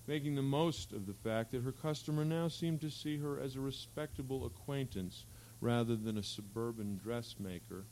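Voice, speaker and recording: Male literary reader, medium pitch, measured and articulate narration of fiction, live recording with slight background hum. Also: a little mellow, rough recording. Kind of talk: reading literary fiction aloud. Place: live public reading at an event. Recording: faint hum and background noise.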